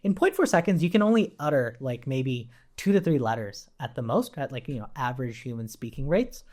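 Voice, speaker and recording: A man's voice: mid-range voice, lively intonation, fairly quick, a little mellow. A man giving a casual, explanatory coding tutorial, thinking aloud in an informal way as he works. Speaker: programming tutorial instructor.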